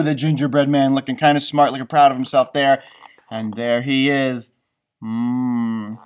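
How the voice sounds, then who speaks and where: A man doing an expressive children's picture book read-aloud, with chatty asides and musing exclamations. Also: on the high side. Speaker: storytime reader and elementary teacher.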